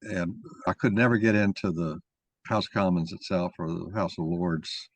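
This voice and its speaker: A man arguing a point in informal discussion, halting and rephrasing as he goes as the moderator. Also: sits low, dry recording. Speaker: moderator and main speaker of a mock-trial discussion.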